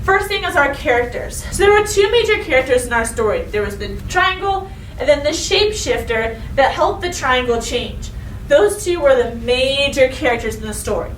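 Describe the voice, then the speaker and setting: A woman teacher reading a story and giving a lesson to children, expressive and instructive, speaking to them directly. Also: high-pitched. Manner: expressive, instructive, addresses young listeners directly. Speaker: elementary school teacher. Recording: roomy echo, distant mic, faint noise.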